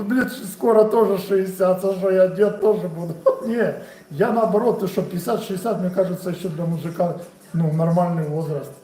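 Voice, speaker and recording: A man's voice: high-pitched, warm tone. Ukrainian-accented man in his forties, echoey room. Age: 40s. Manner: casual, friendly, answers chat questions off the cuff. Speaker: boxing coach. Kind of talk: live stream chat answering viewers. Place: room at home.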